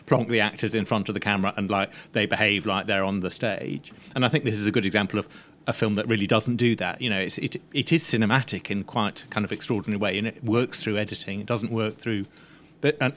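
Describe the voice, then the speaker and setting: A man with a fairly low voice discusses film on a panel after a screening. He speaks in a reflective, analytical and slightly tentative way. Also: a bit bright, a little hiss or hum.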